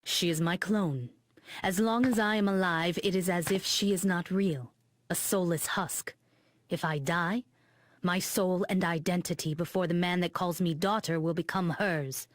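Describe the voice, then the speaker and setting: A woman's voice: on the low side, measured pace, no room sound, clean studio sound, close to an everyday voice. A woman playing a proud young princess warrior in a video game cutscene, talking sharply and with scorn. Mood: urgent.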